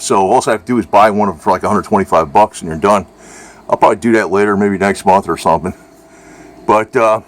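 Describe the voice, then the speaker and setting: A man casually reviewing gear in a rambling, colloquial way, full of informal slang, recorded indoors at home. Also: on the low side. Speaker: video creator.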